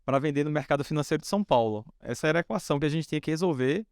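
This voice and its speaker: A man in his forties from Northeast Brazil, a company founder telling stories from his early days in a relaxed, anecdotal way. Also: fairly high pitch, very fast, no echo, clean studio sound. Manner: relaxed anecdotal, many fillers like "né". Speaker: founder and guest speaker. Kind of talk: storytelling on a stage talk.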